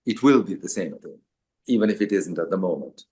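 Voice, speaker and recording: An older man with a lowish voice talks his way through answers, circling back with "um" and restarts as he gathers his thoughts. He speaks as an author chatting to an audience, unhurried and conversational. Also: expressive, darkish tone, slightly rough. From Sri Lanka. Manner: hesitant, many fillers and restarts.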